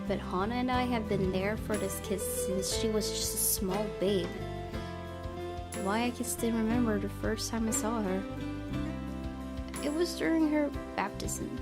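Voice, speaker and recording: Expressive woman reading over music. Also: medium pitch. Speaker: let's play host. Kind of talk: reading visual novel narration aloud.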